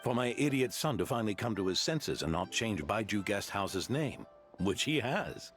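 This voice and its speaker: A man's voice: on the low side, big pitch swings, normal speed, over music. An actor playing a genial, devout old grandfather who runs a guest house, speaking in a hearty, courteous way in a video game. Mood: proud, devout. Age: older. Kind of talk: video game character dialogue.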